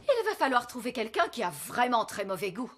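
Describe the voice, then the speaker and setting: A woman playing a brisk, no-nonsense nanny fairy in a children's cartoon, with an emphatic, theatrical delivery. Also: a little high, very animated, noisy background. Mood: brisk, disdainful. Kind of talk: dubbed children's cartoon acting. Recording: loud music behind the voice.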